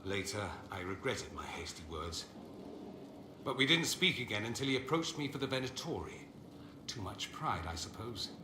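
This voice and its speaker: Man playing a flamboyant, animated, sardonic gentleman mage. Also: medium pitch, normal speed, natural voice. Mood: rueful. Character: witty, proud, theatrical mage exiled from a corrupt empire. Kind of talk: dramatic video game dialogue. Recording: roomy echo, faint ambient noise.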